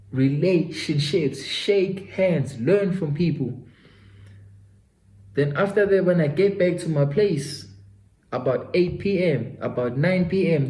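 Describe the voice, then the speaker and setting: A man's voice: a little high, mellow. A Zulu-speaking South African man in his twenties tells an earnest story and talks straight to the listener, as if to a friend. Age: 20s. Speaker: self-development vlogger. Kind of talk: motivational storytelling vlog. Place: his home studio. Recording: roomy echo.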